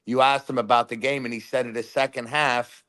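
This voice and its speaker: A man phones in to a football talk show with a loud voice. He rambles happily through old stadium stories and his game picks. His pitch sits in the middle for a man. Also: a little fast. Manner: rambling, animated storyteller. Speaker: caller. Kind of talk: casual phone-in chat.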